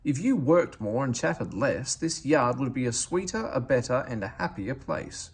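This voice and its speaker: A man's voice: medium pitch, expressive, normal speed, slightly rough. A man voicing a pompous steam engine in a storybook, grand, dismissive and lecturing. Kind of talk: storybook character voice.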